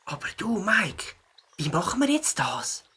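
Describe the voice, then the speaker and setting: A woman voicing a helpful, confident young beaver with a husky voice and a Swiss German accent in a children's audio play. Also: mid-range voice, big pitch swings, unhurried, bright, forceful tone, exaggerated voice. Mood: questioning.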